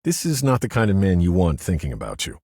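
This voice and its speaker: A man voicing a calm, menacing man giving a stern warning, in an audiobook. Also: deep voice, fast talker, breathy edge, no echo, clean studio sound, voice pushed up, exaggerated voice.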